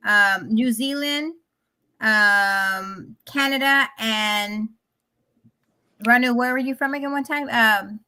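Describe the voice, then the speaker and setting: Bright-voiced woman hosting a panel. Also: on the high side, takes it slowly, clear, resonant tone. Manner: earnest, encouraging, emphatic. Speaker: talk show co-host.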